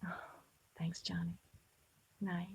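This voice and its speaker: An actress playing a young woman, the daughter of the household, in a 1950s radio drama, light and expressive. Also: on the low side, takes it slowly, gravelly, breathy edge, heavily acted. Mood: pleading.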